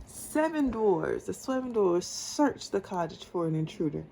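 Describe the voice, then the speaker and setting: A mother reading a storybook aloud to her child in a patient, deliberate, encouraging way. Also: fairly low pitch, big pitch swings, gravelly, warm tone, a little hiss or hum.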